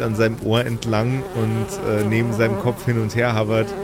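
A slightly hoarse man narrating improvised scenes as game master. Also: mid-range voice.

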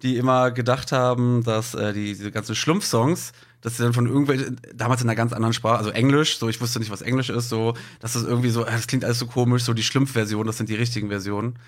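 A man's voice: mid-range voice, fairly even intonation, quick pace, hardly any pauses. A man from Berlin chatting casually and sharing recollections, often interrupting his own train of thought. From Berlin, Germany. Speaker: podcast panellist. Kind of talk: casual chat, personal recollection.

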